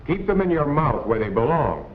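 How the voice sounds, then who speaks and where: A man's voice: fairly high pitch, sing-song, moderate pace. An American man narrating a 1940s instructional film in a plain-spoken, colloquial, admonishing way. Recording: tinny, noisy 1940s film soundtrack.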